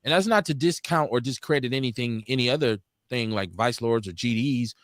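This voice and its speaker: A man in his forties, hosting a casual podcast chat in an animated, emphatic way, often restarting his sentences. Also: medium pitch, fairly quick, no room sound, clean studio sound. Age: 40s. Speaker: podcast host.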